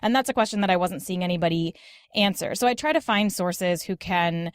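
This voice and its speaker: A woman's voice: on the low side, expressive, brisk, clean tone, dry recording. A woman talking casually as a podcast guest, explaining at length with plenty of "like" and "sort of". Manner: talkative, explanatory, frequent "like" and "sort of". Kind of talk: casual interview conversation.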